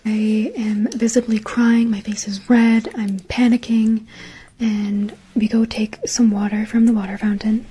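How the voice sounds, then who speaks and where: A woman whispers her way through a long personal story, her breathy voice sitting a little high. She talks on a narrow, flat line, with a mellow tone. Her delivery stays casual and chatty, full of "like" and "um.". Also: measured pace. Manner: chatty, lots of "like" and "um".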